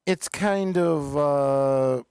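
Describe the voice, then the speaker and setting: A man hosts a casual review chat at an unhurried pace. His voice is smooth and clean, with very little room sound, and he often hesitates to find his words. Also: fairly high pitch, a little mellow, no echo, studio quality. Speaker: show host reviewing television episodes.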